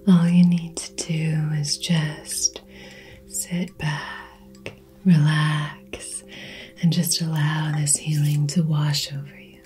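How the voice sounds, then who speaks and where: A woman softly whispering a soothing ASMR Reiki session, speaking gently and personally to the listener as a healer. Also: sits low. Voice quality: breathy whisper. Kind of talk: soft-spoken ASMR healing session.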